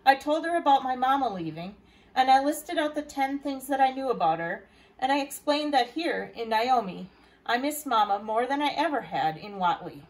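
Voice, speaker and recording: A woman's voice: sits high, animated, normal speed, poor audio. A woman reading aloud as a library storyteller, narrating a children's novel. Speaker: library staff reader.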